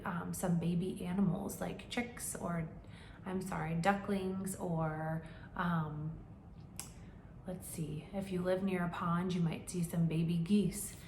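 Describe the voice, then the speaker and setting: A woman's voice: on the low side, a little mellow, roomy sound, slight background noise. Woman reading aloud to young children. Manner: explanatory, prompting listeners with questions. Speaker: children's read-aloud reader, teacher-like.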